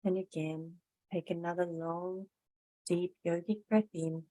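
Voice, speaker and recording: A woman yoga teacher gently guiding a meditation class in a measured, instructional way. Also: on the low side, fairly even intonation, rough recording.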